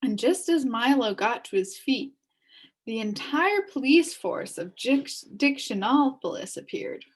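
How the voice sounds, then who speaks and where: A woman reading a children's chapter book aloud as an expressive story narrator. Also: a little high, unhurried, husky, low-quality recording. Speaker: storybook reader.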